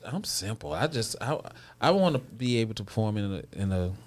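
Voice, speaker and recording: Lower-pitched man in casual conversation. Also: big pitch swings, fairly quick. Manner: repeats phrases for emphasis. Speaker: guest, stage writer, producer and actor. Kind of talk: casual chat.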